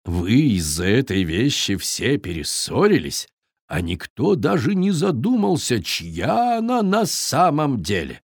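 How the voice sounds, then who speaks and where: A good-natured storybook Viking, read by a man, admonishes calmly and firmly. The sound is dry and close. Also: medium pitch, measured pace, breathy edge, no room sound, exaggerated voice. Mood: firm, kindly. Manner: calm, admonishing. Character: good-natured but firm male Viking.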